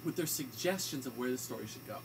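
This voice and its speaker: A man's voice: medium pitch, lively intonation, quick pace, over music, low-quality recording. A man co-hosting an amateur radio show in a casual, bantering style.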